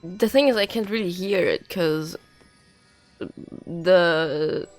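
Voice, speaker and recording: A woman's voice: fairly low pitch, slow, faint music behind. A woman livestreaming a game with casual, informal chat and commentary, her nose congested and her voice slightly nasal. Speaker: game streamer.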